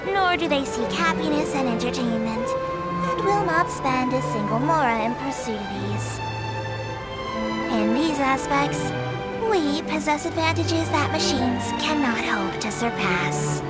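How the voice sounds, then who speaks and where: A woman voicing a small, earnest female creature tour guide in a video game, making polite, formal, measured announcements. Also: sits high. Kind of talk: video game character voice acting. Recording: loud music over the voice.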